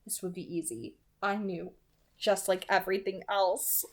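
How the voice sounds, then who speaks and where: A young adult woman narrating a first-person novel aloud in a soft, dramatic manner. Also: medium pitch, steady tone, normal speed. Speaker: amateur audiobook narrator.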